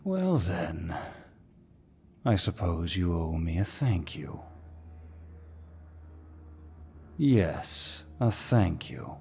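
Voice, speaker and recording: A man playing a suave, dominant demon lord who speaks in a deep, husky voice with mocking courtesy, as in an ASMR villain roleplay. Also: sing-song, darkish tone, heavily acted. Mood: condescending. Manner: slow, mocking, mock-courteous, theatrical.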